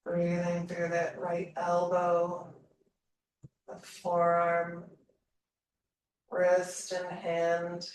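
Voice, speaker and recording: A woman leading a slow guided meditation in a calm, soothing manner, leaving long pauses between phrases. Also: lowish voice. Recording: roomy echo, distant mic, faint noise.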